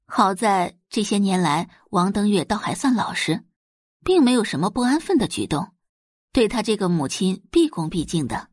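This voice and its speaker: A woman's voice: mid-range voice, big pitch swings, normal speed, dry recording, studio quality. A woman reading scripted dramatic narration as the narrator of an audio drama.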